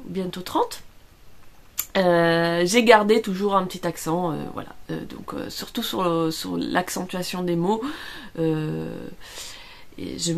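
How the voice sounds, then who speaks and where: A woman's voice: fairly low pitch, vocal fry, darkish tone. A middle-aged woman from Italy with an Italian accent, chatting casually in a home vlog, hesitant and full of fillers, tired after being ill. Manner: hesitant, many fillers and restarts. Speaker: vlogger. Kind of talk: casual chat.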